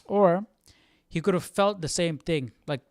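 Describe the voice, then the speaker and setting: A man's voice: high-pitched, measured pace, soft background music. A man hosting a livestream Bible study with casual, reflective commentary, thinking aloud and often checking in with his listeners.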